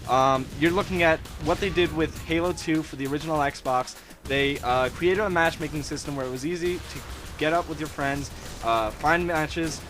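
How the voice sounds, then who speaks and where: A young man with a somewhat high voice builds his case step by step, sounding sure of himself. Music plays clearly behind him. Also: normal speed. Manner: confident, argues his point in order. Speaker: gaming panelist. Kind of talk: casual gaming discussion.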